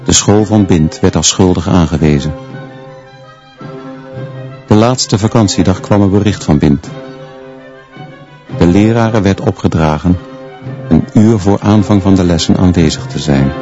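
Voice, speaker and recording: Low male narrator, a sober schoolteacher in a radio play, terse phrasing, music underneath, muffled sound. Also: a little slow, long pauses, warm tone. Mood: sober, grave. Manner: terse, short declarative phrases. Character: sober male teacher recounting events as narrator. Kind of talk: scripted first-person radio drama narration.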